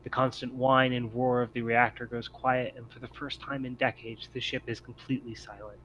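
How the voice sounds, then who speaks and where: A man reading scripted, descriptive scene-setting narration as game master of a tabletop game, in a measured storytelling way. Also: medium pitch, level tone, a bit bright, muffled recording. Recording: noticeable background noise.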